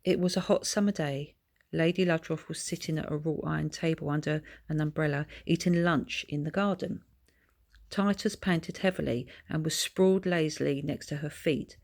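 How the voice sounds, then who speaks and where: A woman reading her own children's book aloud as narrator, in a measured, descriptive storytelling manner. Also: sits low, vocal fry, darkish tone.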